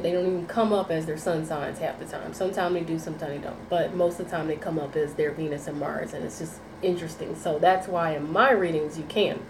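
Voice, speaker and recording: A woman's voice: lowish voice, echoey room, background noise. A woman giving a casual, rambling tarot reading. She sounds nasal from sinus congestion and keeps checking in with "okay?" and "you know". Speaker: tarot reader.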